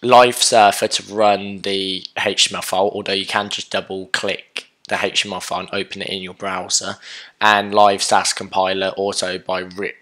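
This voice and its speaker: A young man talks through a coding tutorial with a voice worn down by illness. His pitch sits low, yet the tone stays bright and forward. He rambles casually, full of fillers and restarts, and keyboard typing can be heard behind him. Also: little pitch movement, moderate pace. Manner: casual and chatty, with many fillers and self-corrections. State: sick, sore throat, losing his voice.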